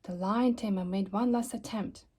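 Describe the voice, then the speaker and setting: A woman reading a children's story aloud expressively, with dramatic emphasis and pauses. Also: mid-range voice, dark tone, breathy edge. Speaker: storybook reader.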